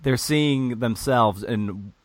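A man's voice: mid-range voice, takes it slowly, dry recording. A man hosting a podcast interview, affirming his guest and thinking aloud. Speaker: podcast host. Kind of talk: casual conversational interview.

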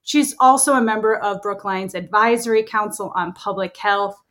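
A woman's voice: mid-range voice, normal speed, fairly bright tone. A woman hosting a podcast, introducing and welcoming her guest with enthusiasm. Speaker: podcast host.

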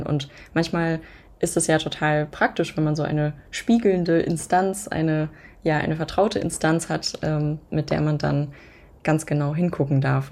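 Woman, 25, low voice, warm mellow tone, thoughtful rambling answers. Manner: reflective, long winding sentences. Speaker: podcast guest. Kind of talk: casual interview talk.

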